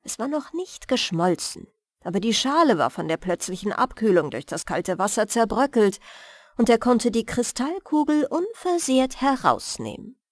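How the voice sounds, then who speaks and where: A woman narrating a bedtime fairy tale as an audiobook, careful and expressive. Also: a little high, moderate pace, no echo, clean studio sound.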